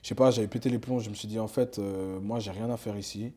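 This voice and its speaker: Young guy, slangy chatty rapper, kinda low mellow voice. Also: fairly even intonation, quick pace, slightly rough. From Switzerland. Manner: loose slangy talk with many fillers and restarts. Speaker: rapper guest. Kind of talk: casual interview chat.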